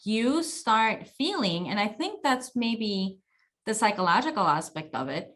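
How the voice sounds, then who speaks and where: A woman's voice: mid-range voice, very animated, measured pace, a bit bright. Reflective woman host from Colombia. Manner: reflective, personal anecdotes, frequent restarts. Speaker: talk show host. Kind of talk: casual conversational interview.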